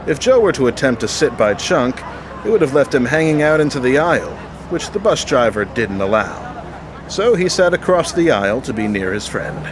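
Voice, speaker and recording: A man's voice: medium pitch, big pitch swings, normal speed, background noise. A man narrating a scripted audio drama as the storyteller.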